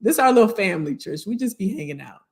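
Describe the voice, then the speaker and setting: An American woman chats to her live viewers as a career coach. She talks in a familiar, folksy way, often saying a phrase over again. Her mid-pitched voice has a slight hoarseness to it. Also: animated, quick pace, darkish tone. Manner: chatty, familiar, repeats phrases. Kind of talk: casual live-stream chat with viewers.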